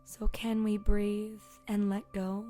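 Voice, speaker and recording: A woman guides a meditation in a very slow, relaxed and mellow voice. She is soft and gentle, leaving long pauses and drifting toward a breathy near-whisper. Gentle music with singing bowl tones sits behind her. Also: medium pitch. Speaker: yoga and meditation teacher.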